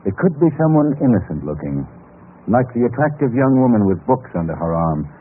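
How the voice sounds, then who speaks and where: A man's voice: mid-range voice, very animated, brisk, natural voice. A man playing a respectable, shaken real estate man, narrating in a brooding, clipped, confessional way in old radio drama style. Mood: grim, confiding. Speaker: actor narrating a radio mystery. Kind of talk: 1940s-50s radio drama acting. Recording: muffled, noisy vintage radio recording.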